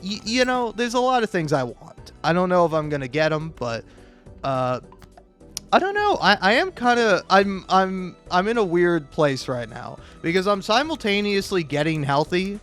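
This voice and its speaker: A man giving casual, rambling game commentary in a halting, jokey way, often repeating words and restarting, swearing freely and putting himself down. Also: high-pitched, very animated, a bit bright. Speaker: video game critic and YouTuber. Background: music.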